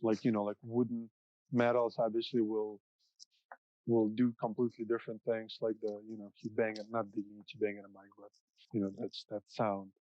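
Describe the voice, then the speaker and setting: A man who grew up in the US after moving from the Soviet Union, a music producer, explaining sound technicalities in a halting way, close to a studio mic. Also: on the low side, level tone, mellow, no room sound. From Soviet Union, raised in the US. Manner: halting, frequent restarts while explaining. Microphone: dynamic studio mic up close. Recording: dull, dry podcast recording.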